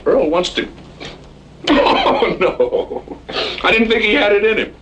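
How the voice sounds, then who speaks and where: Cocky cattle rustler, higher-pitched and very animated, sardonic and folksy, noisy old western radio recording. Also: male voice, takes it slowly, exaggerated voice. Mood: mock innocence. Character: cocky, joking outlaw cattle rustler, cowboy drawl.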